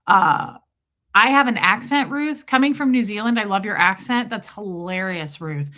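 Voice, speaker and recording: Bright-voiced Midwestern American woman, candid and chatty. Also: moderate pace. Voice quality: voice deeper than usual from lack of sleep. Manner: candid, chatty, breaks off to greet viewers. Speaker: livestream host. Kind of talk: casual livestream chat with viewers.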